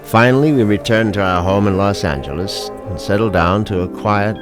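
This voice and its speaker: An actor playing a glib, cynical husband who narrates a radio mystery in a wry, conversational, slightly stammering way. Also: male voice, on the low side, normal speed, over music, close to an everyday voice. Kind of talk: radio mystery drama acting.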